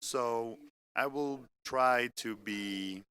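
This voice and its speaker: A man from France with a French accent, speaking in English as he gives a measured, earnest business presentation that moves point by point through an agenda. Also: medium pitch, pushed and bright. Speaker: corporate keynote speaker. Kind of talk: live-streamed business presentation. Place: company innovation center studio.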